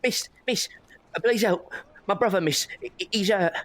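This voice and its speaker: An actress playing a sly young street thief, speaking with urgent, cheeky manner. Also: female voice, mid-range voice, a little slow, lightly acted. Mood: urgent pleading.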